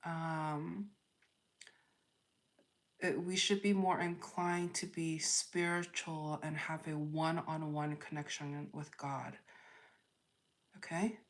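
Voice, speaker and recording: A woman hosting a live tarot reading, speaking off the cuff in a halting way, thinking aloud and repeating phrases as she chats with viewers. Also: low-pitched, flat delivery. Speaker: tarot reader, livestream host. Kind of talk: spontaneous spiritual reading, chatting with live viewers.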